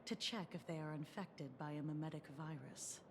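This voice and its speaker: A woman voicing a composed adult female defense officer in a game, speaking in a measured, formal way over game music. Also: sits low, fairly even intonation, noisy background. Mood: clinical. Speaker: game character. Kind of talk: dubbed game dialogue.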